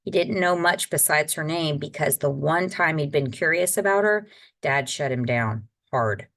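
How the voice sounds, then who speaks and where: A woman's voice: low-pitched, moderate pace, fairly bright tone. A woman reading a children's novel aloud as a guest storyteller, in scripted narration. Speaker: guest reader.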